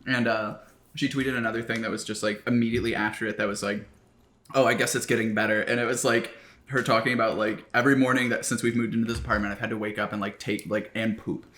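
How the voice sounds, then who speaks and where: A man's voice: fairly low pitch, steady tone, fast talker, fairly bright tone. Laid-back young man, dude-heavy chat. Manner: laid-back, swears a lot, says "dude" and "like". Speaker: podcast co-host. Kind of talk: casual chat over lunch. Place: home kitchen, indoors.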